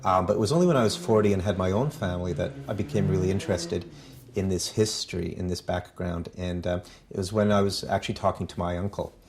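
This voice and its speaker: Deep-voiced middle-aged man, earnest and measured. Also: gravelly, darkish tone, slight background noise. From Canada. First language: Polish. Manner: earnest, measured, frequent um and uh pauses. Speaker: foundation founder and president. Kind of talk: recounting personal and family history.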